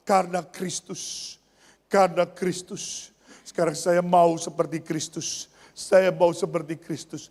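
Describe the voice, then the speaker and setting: A high-pitched male pastor delivers a church sermon in a hall with long reverb. He repeats key phrases emphatically and puts rhetorical questions to the congregation. His delivery moves between raised exclamations and quieter asides.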